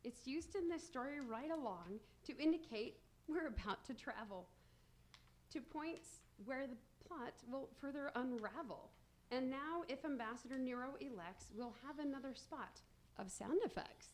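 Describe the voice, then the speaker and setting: A woman's voice: high voice, animated, normal speed. A woman acting as an arch storyteller, addressing listeners in scripted rhyme for a radio play. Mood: playful, announcing. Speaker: narrator. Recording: noisy amateur radio broadcast.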